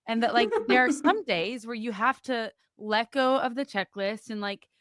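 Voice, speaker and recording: A woman's voice: a little high, moderate pace, fairly bright tone, dry recording, studio quality. A woman in her thirties from Wisconsin with a slight Wisconsin accent, telling her story as a podcast guest in a chatty way, with lots of "like" and "um". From Wisconsin, USA. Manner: chatty, frequent "like" and "um" fillers. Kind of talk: candid conversational storytelling. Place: remote studio.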